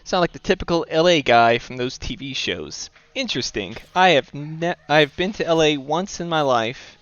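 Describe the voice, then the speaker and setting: A man's voice: fairly high pitch, moderate pace, dull audio. A young man from the East Coast of the US, casually commenting on his moves while playing and chatting with viewers. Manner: relaxed, talks through his moves and reads chat aloud. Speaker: streamer playing a board game.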